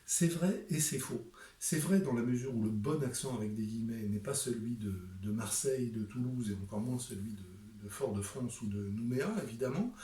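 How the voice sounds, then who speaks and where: Man, middle-aged, author pitching his book, emphatic, rhetorical, hesitant, mellow, picked up from a distance. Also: moderate pace, echoey room. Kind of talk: direct-to-camera book presentation.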